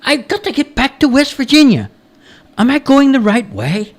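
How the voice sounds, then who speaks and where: A man's voice: extremely high pitch, big pitch swings, moderate pace, pitched above the performer's own voice. A man voicing a lost, exasperated traveller in a storybook tale, exclaiming and pleading. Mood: polite, pleading. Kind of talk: storybook character voice.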